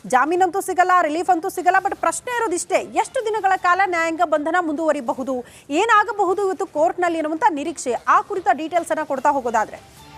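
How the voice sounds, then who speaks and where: A woman's voice: very high-pitched, animated, brisk, a bit bright. A woman anchoring TV news in an emphatic, questioning style. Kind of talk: TV news presenting. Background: music under the voice.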